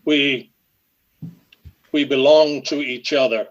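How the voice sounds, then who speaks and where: A male actor playing an earnest, protective robot in a radio drama, measured and formal. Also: medium pitch, fairly even intonation, highs cut off, close to an everyday voice. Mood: defiant. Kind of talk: old-time radio drama acting.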